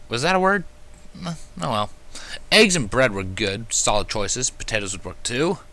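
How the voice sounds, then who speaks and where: A man reading fan fiction aloud as an expressive first-person narrator, with animated, self-mocking asides. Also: mid-range voice, moderate pace.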